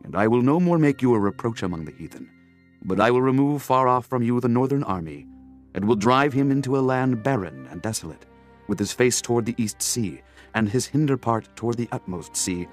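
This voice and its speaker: A man narrating a dramatized scripture audiobook in a solemn, declamatory, measured manner. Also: medium pitch, animated, faint music behind.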